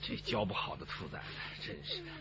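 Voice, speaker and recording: A man's voice: on the low side, wildly swooping pitch, brisk, dull audio, background noise. An actor playing an exasperated, hot-tempered scolding father in a radio drama. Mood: grumbling.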